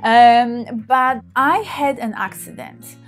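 A woman's voice: a little high, takes it slowly. Woman in her 30s, Polish accent, open chatty to-camera talk, faint music under. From Poland. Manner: warm, open, laughs often, gestures while talking. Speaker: sex therapist, channel host. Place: small bedroom, indoors.

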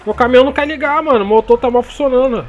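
A young Brazilian man with a Brazilian Portuguese accent, chatting excitedly and joking while playing a truck game online. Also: extremely high pitch, racing, muffled recording. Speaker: gaming YouTuber. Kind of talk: casual gameplay commentary.

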